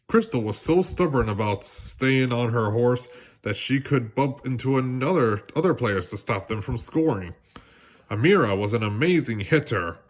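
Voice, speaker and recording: Expressive man reading a children's story. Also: mid-range voice. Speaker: storybook reader. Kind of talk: read-aloud children's story narration.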